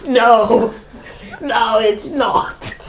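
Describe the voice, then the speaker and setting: A player performs a stubborn old patriarch in a tabletop radio drama. He is haughty, indignant and petulant. The recording has clear noise and clicks behind the voice. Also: mid-range voice, steady tone, extremely slow, poor audio. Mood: petulant tantrum.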